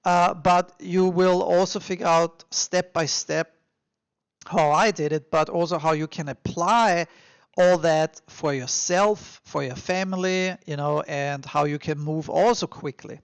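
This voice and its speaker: Male podcast host, Austrian accent, fairly high creaky voice, dry close sound; emphatic, encouraging advice-giver. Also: fairly even intonation, a little slow, dry recording. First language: German. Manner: emphatic, encouraging, with informal repetition. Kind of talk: motivational advice monologue to listeners.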